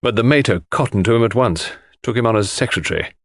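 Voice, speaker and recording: A man voicing an exasperated, outspoken English country gentleman with slangy upper-class talk in an audiobook. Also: fairly low pitch, expressive, fast talker, dry recording, studio quality. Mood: indignant. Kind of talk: audiobook character dialogue.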